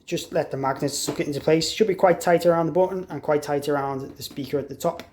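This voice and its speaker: A man with a slightly higher-than-average voice walks through a hands-on installation tutorial. He explains in an informal, practical way, like an installer showing a product. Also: fast, a little mellow, a little hiss or hum. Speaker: installer demonstrating an intercom product.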